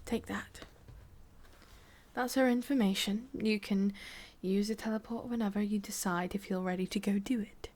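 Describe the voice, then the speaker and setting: A woman with an English accent playing a cruel, condescending female boss in an ASMR roleplay, murmuring with drawn-out pauses. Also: mid-range voice, fairly even intonation, a little mellow, close to an everyday voice. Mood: condescending, controlled.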